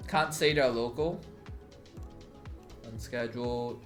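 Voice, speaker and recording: A man's voice: medium pitch, slow, soft background music. A young man chatting casually on a live stream, rambling with many fillers and swearing freely. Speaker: livestreamer.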